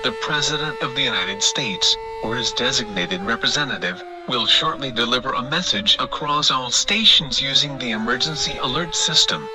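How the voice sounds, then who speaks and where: A man's voice: mid-range voice, animated, normal speed, few pauses, over music, close to an everyday voice, poor audio. A man playing a stern emergency alert system announcer, reading official notices in a formal way.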